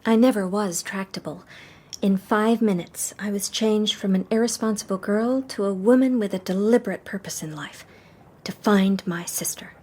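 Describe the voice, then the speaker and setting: An actress playing a wistful young society woman, telling her story in a hushed, measured radio drama style. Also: mid-range voice, husky, natural voice. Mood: resolute, reflective.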